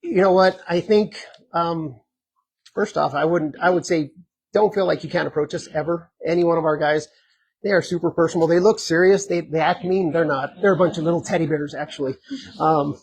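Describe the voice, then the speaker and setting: A man running for sheriff, answering audience questions at a community meet and greet in an earnest, conversational way, hoarse and full of filler ums. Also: fairly high pitch, fairly even intonation, fairly quick, poor audio. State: hoarse, losing his voice. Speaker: sheriff candidate.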